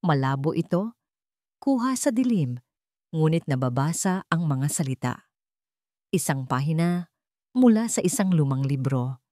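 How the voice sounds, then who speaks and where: A woman with a Filipino accent narrating a scripted story in a dramatic, deliberate storytelling manner. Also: low voice, expressive, dark tone, no room sound, clean studio sound.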